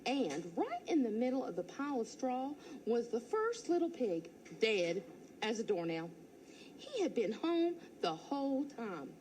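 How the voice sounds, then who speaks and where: Woman teacher, storybook read-aloud, lively melodic voice, playful. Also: mid-range voice, a little hiss or hum, rough recording. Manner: expressive, playful first-person storytelling.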